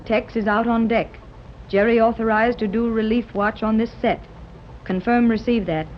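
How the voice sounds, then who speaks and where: A woman's voice: on the high side, steady tone, moderate pace, a little hiss or hum, close to an everyday voice. Actress playing earnest determined mother, muffled old radio sound. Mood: businesslike. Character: hopeful determined mother leading an expedition. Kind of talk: 1930s radio acting. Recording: dull old radio recording.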